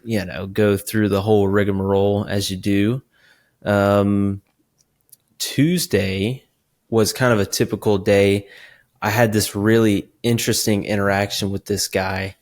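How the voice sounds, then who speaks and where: A man co-hosting a casual podcast, telling stories about his week in a relaxed, rambling way with dry humor. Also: lowish voice, normal speed. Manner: relaxed storyteller, many ums and likes, dry humor. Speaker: podcast co-host. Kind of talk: casual chat.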